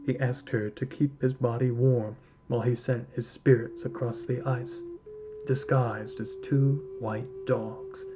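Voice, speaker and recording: A man reading a literary story aloud as a narrator, in a measured, solemn storytelling manner. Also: medium pitch, fairly even intonation, music in the background. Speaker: podcast host reading a short story aloud.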